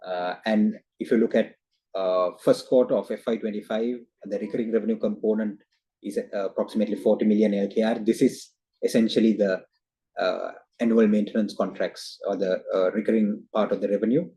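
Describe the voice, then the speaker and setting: A man giving a formal investor presentation as a company executive on a video call, halting often with hesitant filler sounds. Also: lowish voice, steady tone, warm tone, slightly rough. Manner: hesitant, halting delivery with frequent uhs.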